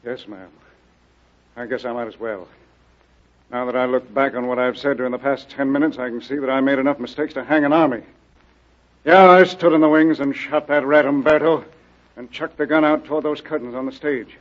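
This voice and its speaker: Actor as rough cornered killer, muffled old radio. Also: male voice, mid-range voice, fairly even intonation, brisk, lightly acted. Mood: resigned, bitter. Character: cornered theatre property man, a bitter father confessing murder. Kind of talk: old radio mystery acting. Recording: muffled vintage radio drama recording.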